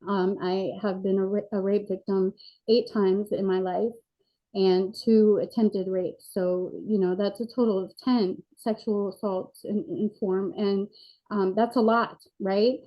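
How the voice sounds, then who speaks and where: A woman's voice: mid-range voice, level tone, a little slow. A woman in her forties speaking as an interview guest, earnest and reflective, with frequent fillers, over a video call. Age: 40s. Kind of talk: candid personal interview. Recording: dull lo-fi sound.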